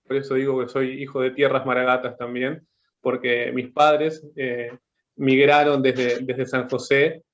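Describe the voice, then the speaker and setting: A man speaks on a panel discussion, slowly working his thoughts into words. His pitch stays flat and steady. The video call audio is dull and lo-fi. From Uruguay. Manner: thoughtful, halting, searching for words. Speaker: artist guest.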